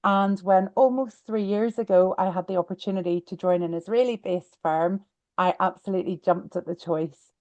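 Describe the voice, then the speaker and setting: A woman's voice: mid-range voice, moderate pace, darkish tone. A woman in her forties with a Belfast accent, introducing herself as a professional panellist on a webinar. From Belfast, Northern Ireland. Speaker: real estate lawyer, panellist. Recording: dull, lo-fi online call audio.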